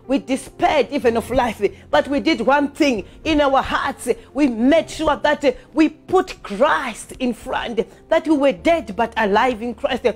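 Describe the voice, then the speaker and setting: A woman's voice: sits high, darkish tone. A woman preacher delivering a fervent charismatic sermon in an emphatic, repetitive, exhorting manner. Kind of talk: fervent charismatic sermon with scripture reading and prayer.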